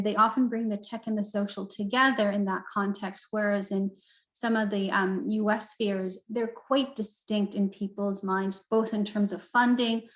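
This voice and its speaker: A middle-aged woman from Turkey, a professor answering interview questions in a thoughtful, measured way with frequent pauses. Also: mid-range voice. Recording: roomy echo.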